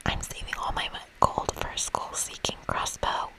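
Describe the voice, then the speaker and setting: A woman reading a video game aloud in a breathy ASMR whisper, playful and expressive, with casual asides. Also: moderate pace. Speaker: ASMR gamer reading a game's dialogue aloud. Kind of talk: whispered ASMR let's play.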